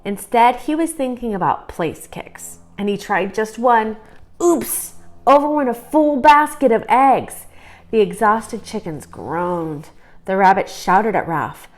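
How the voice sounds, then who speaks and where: A woman's voice: on the high side, slow pace. Woman reading a children's story aloud. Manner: expressive read-aloud storytelling. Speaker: storybook reader. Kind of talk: scripted children's story narration.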